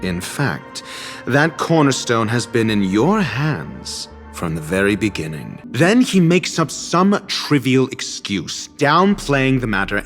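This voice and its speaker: A man voicing a haughty, sardonic scholar in a video game, speaking with formal diction and dry, measured condescension. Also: mid-range voice. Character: haughty, sardonic male scholar, coolly exasperated and erudite. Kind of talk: video game cutscene voice acting. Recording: game dialogue over background music. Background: background music.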